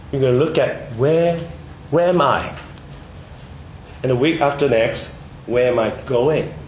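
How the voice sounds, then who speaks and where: A Malaysian man delivers a prepared, sermon-like talk in measured phrases, often posing questions to his listeners. His voice sits at an average pitch and he is getting over a cold. The room is echoey, with faint noise behind him. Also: muffled recording. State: recovering from a bad cold, one ear blocked. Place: indoor room, speaking to an audience.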